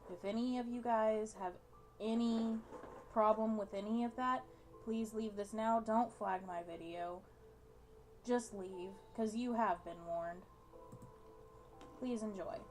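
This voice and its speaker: Young woman doing a game vid, reading out the text n chatting, bit high, slight noise. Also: slow, long pauses, darkish tone. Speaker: gaming video host. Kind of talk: casual chat and reading game text aloud.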